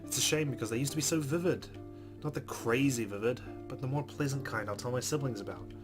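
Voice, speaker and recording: A man's voice: medium pitch, quick pace. A man reading a visual novel aloud as a let's play host, with casual asides. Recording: clear game music behind.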